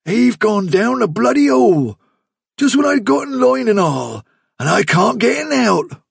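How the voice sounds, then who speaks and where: A man's voice: mid-range voice, lively intonation, slow, creaky, husky, no echo, clean studio sound, heavily acted. A man voicing a cruel, taunting country man in a broad rural English dialect, reading a storybook. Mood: gloating. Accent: rural English dialect put on. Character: menacing rustic man, cruel and mocking.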